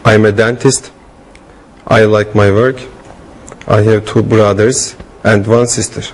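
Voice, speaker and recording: A man's voice: lowish voice, level tone, unhurried, husky. A young man in his twenties playing a student on a studio set, making plain, simple statements. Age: 20s. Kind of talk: scripted classroom role-play.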